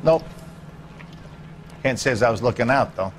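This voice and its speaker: An actor playing a grudging, folksy American neighbor being questioned as a witness in a video game drama. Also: male voice, mid-range voice, animated, moderate pace, slight background noise, natural voice. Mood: defensive, offhand. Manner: folksy, offhand, defensive. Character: evasive, grudging male suburban neighbor and witness.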